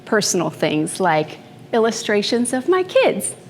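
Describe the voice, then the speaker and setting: A woman in her fifties from the Philippines, giving a personal talk to a live audience in an unhurried, conversational way with fillers and asides. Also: mid-range voice, sing-song, a little mellow, a little hiss or hum. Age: 50s. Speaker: speaker at a morning talk. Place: event room before an audience. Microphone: handheld microphone.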